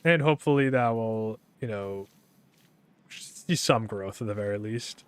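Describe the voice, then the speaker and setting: A young man streaming a game, talking in a casual, rambling way with a monotone delivery, full of fillers and restarts. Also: lowish voice, a little slow, clear, resonant tone, dry recording. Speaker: gaming streamer.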